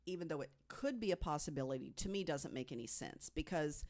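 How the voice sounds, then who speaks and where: Earnest mid-pitched podcast guest telling stories. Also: lively intonation, a little fast. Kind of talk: casual storytelling discussion.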